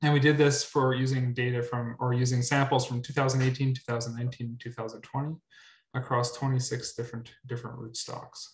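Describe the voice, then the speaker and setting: A man's voice: mid-range voice, little pitch movement, brisk. Young guy talking through slides on a webcam, lots of ums and repeats, echoey room. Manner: repeats words often, many ums. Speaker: researcher presenting at a grower meetup. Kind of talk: webinar presentation. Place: indoor room. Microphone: webcam on video call. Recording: roomy echo.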